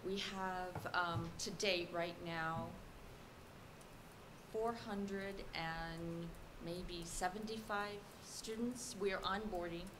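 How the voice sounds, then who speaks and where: A woman school executive director giving a brisk, businesslike briefing at a public board meeting. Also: on the low side, steady tone. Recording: noisy meeting room audio.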